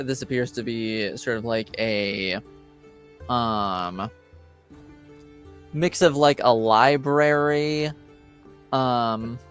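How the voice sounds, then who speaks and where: A man working as game master, improvising scene descriptions in a halting, hedging way. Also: mid-range voice, a bit bright. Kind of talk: improvised tabletop game narration.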